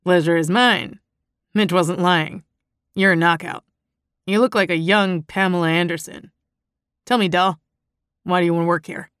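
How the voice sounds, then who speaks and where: A woman's voice: on the low side, fairly even intonation, moderate pace, pauses often, a bit bright, clean tone, no room sound, clean studio sound, natural voice. A woman voicing a domineering club owner in his late thirties, a stocky man with a leering, bossy manner, in an audiobook. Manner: leering, bossy, calls women doll.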